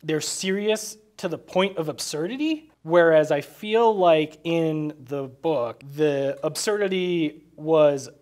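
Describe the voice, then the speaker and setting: A man with a somewhat higher-pitched voice chats informally as he analyses a film. His delivery is halting, and he often repeats words while working out a thought. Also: a little mellow. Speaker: podcast co-host discussing a film.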